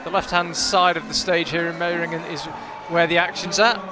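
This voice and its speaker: A man with a fairly high voice calls a climbing competition live, chatty and analytical. He often restarts his sentences as he works through the moves. A loud crowd fills the big hall behind him. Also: flat delivery, moderate pace, music in the background. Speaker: climbing commentator. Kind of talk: live sports commentary.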